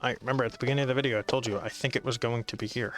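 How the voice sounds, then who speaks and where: A man commentates casually over a video game in an offhand way. His voice is bright and fairly monotone, with faint game music behind it. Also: mid-range voice, racing. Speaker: gaming video host.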